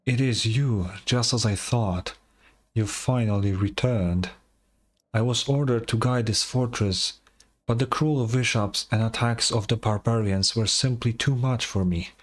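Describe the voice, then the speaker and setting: A man's voice: mid-range voice, a little slow, close to an everyday voice. A man voicing an ancient, defeated stone soldier in a video game, weary and solemn, with formal archaic speech.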